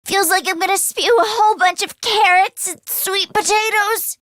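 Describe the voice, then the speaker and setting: A woman voicing a nervous, expressive teenage girl fighter in an English video game, with casual youthful phrasing. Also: extremely high pitch, normal speed, no echo, studio quality. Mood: anxious, queasy.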